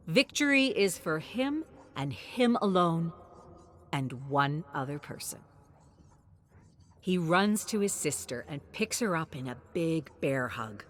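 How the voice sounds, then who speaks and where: Low-pitched woman narrating a story, animated intonation. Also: a little hiss or hum. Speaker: narrator of character lore.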